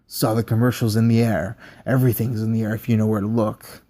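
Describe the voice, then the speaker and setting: A man voicing an unsettled male test subject who talks slowly and quietly in a dreamy, rambling way. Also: on the low side, flat delivery, close to an everyday voice.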